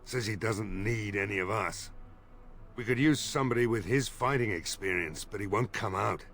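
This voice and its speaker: An actor playing a weary, worried village mayor in a fantasy game, with game music behind him. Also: male voice, lowish voice, normal speed, fairly bright tone, slight background noise. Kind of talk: video game voice acting.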